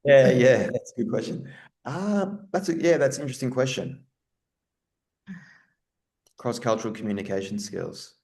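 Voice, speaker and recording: An Australian man answering webinar questions in a relaxed, rambling way, restarting his sentences often. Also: medium pitch, moderate pace, creaky, pauses often. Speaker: guest speaker. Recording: roomy echo.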